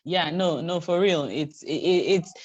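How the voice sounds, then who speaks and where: A woman's voice: lowish voice, measured pace, muffled recording. A middle-aged woman whose first language is Yoruba, coaching someone in a one-on-one chat, repeating her points and telling everyday stories to encourage.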